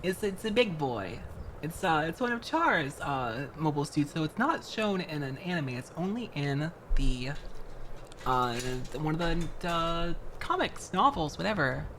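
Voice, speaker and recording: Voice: medium pitch, sing-song, a little slow, a bit bright, a little hiss or hum. A streamer chatting casually with viewers while building a model kit, rambling from one thought to the next and greeting people by name. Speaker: VTuber streamer.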